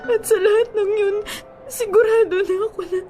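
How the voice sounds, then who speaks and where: High-pitched heartbroken Filipina ex-girlfriend. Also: fairly even intonation, normal speed, warm tone. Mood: tearful pleading. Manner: emotional, pleading, switches between Tagalog and English. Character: heartbroken, clinging young ex-girlfriend, pleading and defiant. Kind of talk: Filipino radio drama acting. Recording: music bed under the dialogue. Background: music.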